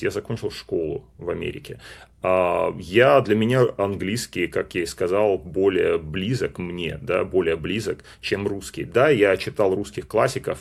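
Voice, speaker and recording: A man's voice: deep voice, gravelly, breathy edge. A man from Russia talking in a casual, reflective monologue, hesitant and full of fillers and restarts. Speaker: speaker on personal identity.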